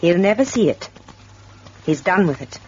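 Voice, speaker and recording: An actress playing a proud, hardworking woman farmer with a firm will, brisk and emphatic in old radio drama style. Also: lowish voice, lively intonation, darkish tone, husky. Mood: bitter, resolute. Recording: dull, noisy old radio recording.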